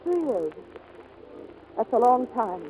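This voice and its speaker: A woman's voice: on the high side, big pitch swings, slow, phone-quality sound. An actress playing a plucky young woman racing pilot who is hard on herself, in 1940s radio style. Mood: guarded. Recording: faintly noisy 1940s radio recording.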